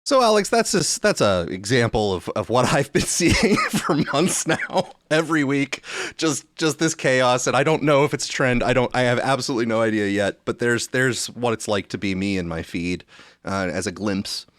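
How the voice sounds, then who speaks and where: A man hosting a podcast, talking casually and thinking aloud in a halting, rambling way, often rephrasing mid-sentence. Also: medium pitch, expressive, a bit bright, dry recording, clean studio sound. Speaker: podcast host.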